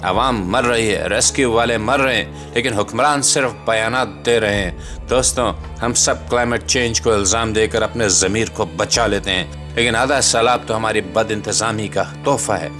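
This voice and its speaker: A man's voice: lowish voice, normal speed. Solemn Pakistani man narrating over music. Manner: solemn, rhetorical, emphatic. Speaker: host and narrator of a commentary channel. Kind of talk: scripted dramatic documentary voice-over. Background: background music.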